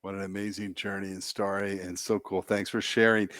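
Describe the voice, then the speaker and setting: A man hosts a podcast with a lowish voice. It is recorded dry and close. He keeps the talk upbeat and encouraging as he draws out his guest. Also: level tone, fairly quick, a bit bright, dry recording. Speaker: podcast host. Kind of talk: friendly interview hosting.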